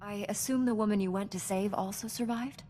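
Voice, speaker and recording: A woman's voice: mid-range voice, fairly even intonation, brisk, mellow, a little hiss or hum, lightly acted. Woman playing composed, earnest Jedi knight, reverberant. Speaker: player character. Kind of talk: video game dialogue acting.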